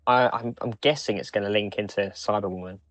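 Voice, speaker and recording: A man with a fairly low voice speaks as a podcast co-host. He chats casually and informatively, and the sound is dry, with no room echo. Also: fast, fairly bright tone. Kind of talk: casual chat, reading out release news.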